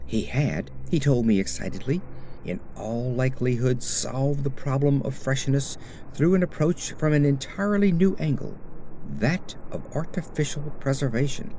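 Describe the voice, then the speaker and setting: A man reading a horror story as an audiobook narrator, in a measured, literary first-person storytelling manner. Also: medium pitch, mellow, slight background noise.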